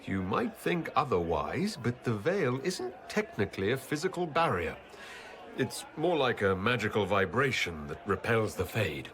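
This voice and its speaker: Polite fantasy game hero, lowish voice, really varied intonation, dry humour. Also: male voice, big pitch swings, normal speed, fairly bright tone. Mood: awkward, stalling. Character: composed, courteous male leader of a fantasy order. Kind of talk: video game cutscene acting.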